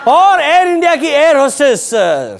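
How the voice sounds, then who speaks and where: A man's voice: extremely high pitch, wildly swooping pitch, measured pace, crowd noise, background noise. A man hosting a satirical news comedy show, mocking the news playfully and chuckling at his own jokes. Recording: loud studio audience and music. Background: audience laughter and music.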